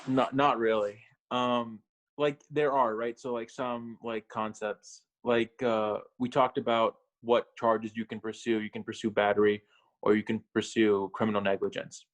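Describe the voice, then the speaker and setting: A young man in college explaining rules on a video call, halting and full of fillers. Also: lowish voice, level tone. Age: young adult. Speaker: mock trial member. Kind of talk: casual Zoom chat.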